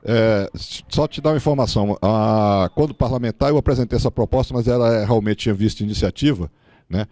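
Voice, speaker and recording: Low, mellow Brazilian man. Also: brisk, no echo. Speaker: political candidate answering questions. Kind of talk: informal answer at a public forum.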